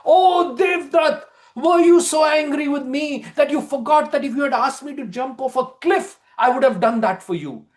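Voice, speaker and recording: A man's voice: extremely high pitch, normal speed, few pauses, voice pushed up. A man voicing an emotional, loyal young friend with dramatic exclamations, in a storytelling reading. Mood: grief-stricken.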